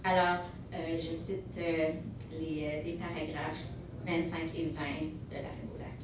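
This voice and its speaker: A woman delivers a prepared legal talk, speaking in a fairly low voice and pausing to restart her sentences. She is heard from well back in a large, echoing hall. Steady background noise sits under her voice. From Montreal, Quebec. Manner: measured, with frequent hesitations and restarts. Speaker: lawyer presenting at a conference. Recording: echoey hall, distant mic, background noise.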